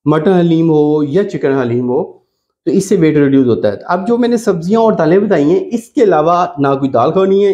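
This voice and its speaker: A Pakistani-accented man speaks in a slightly high register, like a doctor advising followers directly. His delivery is informal and instructive, and he repeats important points for emphasis. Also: a little mellow, dull audio. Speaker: doctor giving diet advice. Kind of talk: informal instructional health talk to camera.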